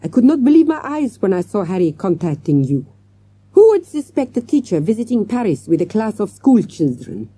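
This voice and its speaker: A woman's voice: mid-range voice, sing-song, normal speed, dark tone, husky, no echo, voice pushed up. A woman voicing a grave, self-assured male French detective inspector in a children's storybook. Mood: rueful, musing.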